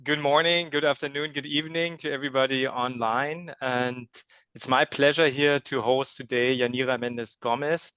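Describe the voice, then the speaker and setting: A man's voice: medium pitch, a bit bright, clean tone, no echo, highs cut off. A man hosting an online science lecture, formally introducing the speaker with many hesitations. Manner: hesitant, many ums and uhs.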